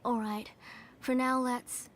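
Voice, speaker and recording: A woman playing a kindly, hospitable middle-aged aunt in a video game, speaking in a gentle, motherly way. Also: on the high side, little pitch movement, slow pace. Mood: fond, welcoming.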